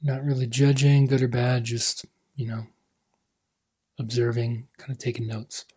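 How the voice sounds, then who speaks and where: A man leading a guided meditation as a coach, speaking gently in unhurried phrases that trail off softly. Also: medium pitch, monotone, husky.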